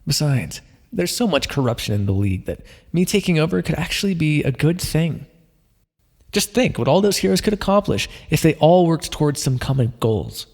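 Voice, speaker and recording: A man playing a smug, playful supervillain mind controller in an ASMR roleplay, coaxing the listener with theatrical, drawn-out words. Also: fairly high pitch, very animated, a little mellow. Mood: scheming, gleeful. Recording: long reverberant echo.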